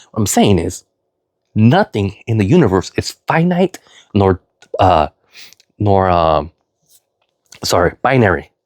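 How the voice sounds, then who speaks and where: A middle-aged man with a sniffly cold, talking in a rambling, earnest vlog monologue, full of fillers and "you know", now and then jumping into loud high emphasis. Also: dry recording, clean studio sound. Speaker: vlogger.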